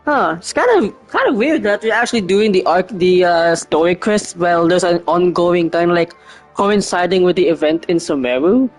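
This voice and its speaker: A man's voice: sits high, moderate pace. A man giving casual, hesitant gameplay commentary as a streamer, rambling and swearing freely over game music. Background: faint game music.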